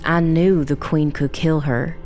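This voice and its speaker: Woman as a low-voiced, somber girl narrator. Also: fairly even intonation, a little mellow, faint music behind. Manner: measured, grave. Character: somber young girl survivor telling her dark tale. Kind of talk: first-person dramatic narration.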